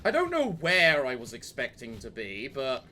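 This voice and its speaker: A man doing gaming commentary with a voice strained from yelling. He swears and rants at the game in exasperation. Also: medium pitch, huge pitch swings, normal speed, a little hiss or hum. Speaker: let's play host.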